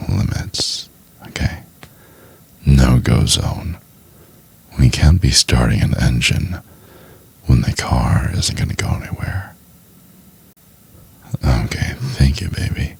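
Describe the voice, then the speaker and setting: A man playing a doting, teasing boyfriend in an intimate ASMR roleplay, murmuring in a husky, halting half-whisper. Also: extremely low pitch, heavily acted. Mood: teasing, firm. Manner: slow, halting, murmured delivery with pauses. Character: doting, teasing boyfriend trying to study for exam.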